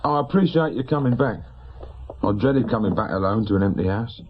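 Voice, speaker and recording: An actor playing a grieving, insistent widowed shopkeeper in a radio drama, with a working-class English accent and plain colloquial speech. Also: male voice, lowish voice, lively intonation, fairly quick, lo-fi sound, slight background noise, close to an everyday voice. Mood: troubled. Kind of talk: naturalistic radio drama acting.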